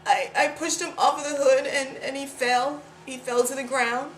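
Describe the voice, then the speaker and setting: An actress playing a guilt-ridden woman confessing a long-held secret in a dramatic monologue, halting and repeating herself. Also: high voice, fairly even intonation, natural voice. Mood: distressed. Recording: echoey room with clear background noise.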